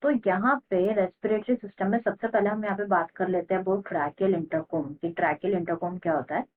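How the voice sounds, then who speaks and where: An Indian woman teacher explaining a lesson, repeating key terms slowly as if dictating. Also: mid-range voice, fairly even intonation. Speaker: biology teacher. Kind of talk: explanatory lesson in mixed Hindi and English. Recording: muffled phone-like narrow-band audio.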